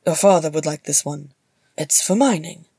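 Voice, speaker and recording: Woman putting on a gruff, playful dwarf uncle. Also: deep voice, moderate pace, warm tone, no echo. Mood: playful, doting. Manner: mock-solemn, playful, teasing. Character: gruff, jovial male dwarf warrior, doting uncle.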